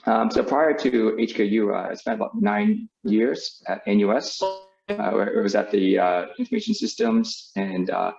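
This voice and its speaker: A man's voice: fairly low pitch, very animated, moderate pace, gravelly, darkish tone, faint music behind. A man in his forties, born in Vietnam and raised in the United States, presenting as a professor in a video call, with many fillers and restarts. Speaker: business school professor giving a webinar talk. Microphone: video call microphone. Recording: dull lo-fi video call audio.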